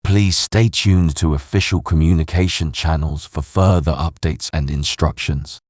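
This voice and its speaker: A man reading a formal scripted emergency broadcast as a news announcer, solemn, measured and official. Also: very deep voice, steady tone, darkish tone, no room sound, clean studio sound.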